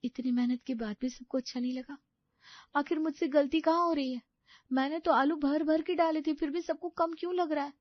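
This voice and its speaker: A woman's voice: sits high, fairly even intonation, a little fast, no echo, lo-fi sound, pitched above the performer's own voice, lightly acted. A woman voicing an earnest, determined young daughter-in-law in a story, speaking in an eager, emphatic way. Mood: hurt. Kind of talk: animated story dialogue.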